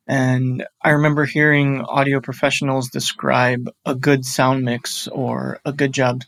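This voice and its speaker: A man from a podcast team, talking in a measured and reflective way. His voice is mid-pitched, and the recording is close and dry. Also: little pitch movement, vocal fry, fairly bright tone, dry recording. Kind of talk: casual chat.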